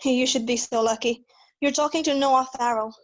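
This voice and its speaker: A woman's voice: high voice, fairly even intonation, normal speed, no echo, highs cut off, lightly acted. A woman reading the part of a pushy, needling newspaper editor in his late fifties at an amateur script read. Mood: breezy, smug.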